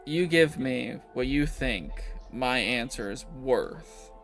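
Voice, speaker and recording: A gruff bar owner played by a man in a tabletop game, evasive and always bargaining. The voice is creaky and moves widely in pitch, with music running behind it. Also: lively intonation, takes it slowly, a bit bright. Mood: cagey, bargaining. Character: cagey, haggling male bar owner with a grudge. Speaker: game master or player voicing a character. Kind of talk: improvised tabletop roleplay. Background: background music.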